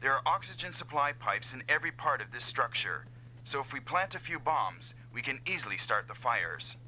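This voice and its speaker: Earnest comrade pilot, very sharp, forceful. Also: male voice, mid-range voice, sing-song, normal speed, a little hiss or hum, low-quality recording. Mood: purposeful. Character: earnest, self-doubting male comrade pilot, eager to help. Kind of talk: dubbed anime-style video game acting.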